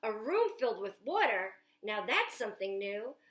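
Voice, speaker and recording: A woman's voice: mid-range voice, very animated, moderate pace, a bit bright. A woman reading a storybook's lines as an imaginative young boy who proudly shows off his dream house, in bouncy rhyming verse with stretched words. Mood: proud, excited. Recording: echoey room.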